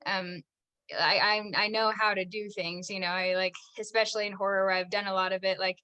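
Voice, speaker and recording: A woman's voice: mid-range voice, flat delivery, a little fast, sharp tone, clean tone, dull audio. A young American actress chatting as a podcast guest, filling her talk with "like" and frequent laughs. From United States. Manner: chatty, says "like" constantly, laughs often. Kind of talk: casual interview chat.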